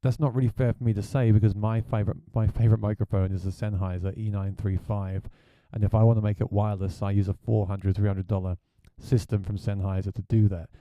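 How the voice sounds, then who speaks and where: A man with a deep voice, a gigging musician, chatting plainly through a gear review. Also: little pitch movement, fast, hardly any pauses, no room sound, lo-fi sound.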